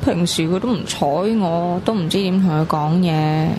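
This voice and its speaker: A woman's voice: lowish voice, fairly even intonation, normal speed, natural voice. Actress doing naive lovestruck young girl, innocent questions, radio drama, noisy behind her. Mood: shy, lovestruck. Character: naive lovestruck girl just come of age. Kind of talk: Cantonese radio drama dialogue.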